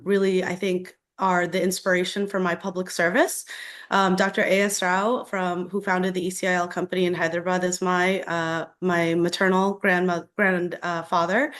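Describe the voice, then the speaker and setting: An American woman giving opening remarks on a panel as a government official, speaking haltingly with many fillers. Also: lowish voice, a bit bright. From United States, raised in Delaware. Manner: hesitant, halting, frequent fillers.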